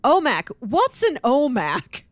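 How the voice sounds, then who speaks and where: A woman's voice: high voice, wildly swooping pitch, slow pace, no echo. Woman chatting casually in a very dry recording. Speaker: podcast co-host. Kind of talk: casual comic book discussion.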